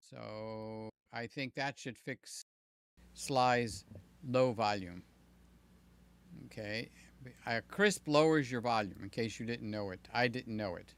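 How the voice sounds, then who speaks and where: An older man hosting a live stream, chatting loosely with viewers, his voice slightly hoarse. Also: mid-range voice, fairly bright tone, no echo. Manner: rambling, jokey, talks to chat by name. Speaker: livestream host. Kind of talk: casual live chat with viewers. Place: home room with fans and air conditioner. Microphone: desk mic near the mouth.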